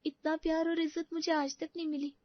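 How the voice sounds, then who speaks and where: A woman's voice: very high-pitched, flat delivery, a little fast, no room sound, dull audio. A woman playing a timid, grateful young orphan bride in an audio story, hesitant and deferential. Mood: tearful gratitude.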